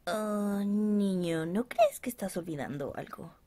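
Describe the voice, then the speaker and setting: A woman's voice: mid-range voice, measured pace, dark tone, voice pushed down, lightly acted. A woman voicing a cheeky, food-obsessed male cat spirit, whining and teasing in a dramatic way.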